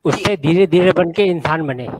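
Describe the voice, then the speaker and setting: A man with a sore throat arguing on a video call, halting and restarting his sentences. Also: a little high, darkish tone. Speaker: caller in group debate. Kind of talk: casual group video call debate.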